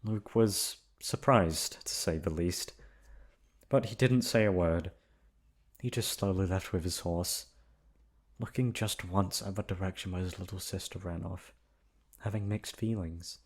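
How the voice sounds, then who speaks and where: A man's voice: low-pitched, a little slow, slightly rough. A man narrating a scripted bedtime story as an ASMR storyteller.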